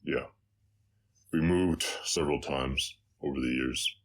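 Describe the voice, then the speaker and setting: A man as a very deep, slow Southern orc cowboy. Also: heavily acted. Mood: somber, tender. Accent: slight Southern American. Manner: halting, hesitant, reflective. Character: gruff, gentle orc cowboy, haunted by his past. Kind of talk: slow intimate audio roleplay monologue.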